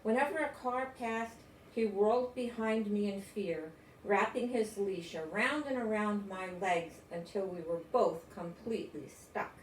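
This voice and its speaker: An older woman from the United States reads a children's story expressively. Her voice is of medium pitch. It is picked up from a little way off in a roomy space, with faint noise. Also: a little slow, recorded from a distance. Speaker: storybook reader.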